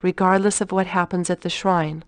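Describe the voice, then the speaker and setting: Woman acting earnest gentle mom in a video game, lowish voice, sincere n formal, dry audio. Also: flat delivery, moderate pace, no echo, close to an everyday voice. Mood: grateful, remorseful. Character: gentle, earnest, remorseful mother.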